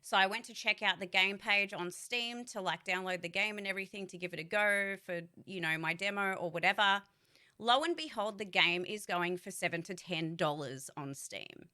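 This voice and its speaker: A woman's voice: mid-range voice, bright, forceful tone. A woman voice actor speaking in a candid, rambling vlog. She talks bluntly, swears freely, and uses many fillers and restarts. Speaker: voice actor giving career advice. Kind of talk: casual vlog monologue.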